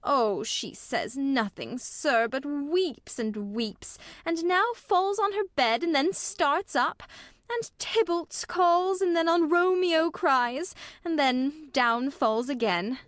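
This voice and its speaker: Shakespeare audio drama: fussy female nurse acted by a woman, lively swooping intonation, close dry sound, melodramatic wailing. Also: high-pitched, slow pace, no echo. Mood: woeful. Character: fussy, woeful female nurse serving a young lady. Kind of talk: classical stage tragedy in audio.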